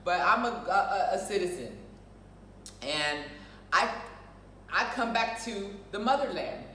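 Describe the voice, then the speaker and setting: A woman's voice: fairly high pitch, a bit bright. An American woman co-hosting a show, chatting casually in an outspoken, emphatic way and repeating phrases to press her point. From United States. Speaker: show co-host. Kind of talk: casual opinionated chat. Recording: roomy echo, distant mic, faint noise.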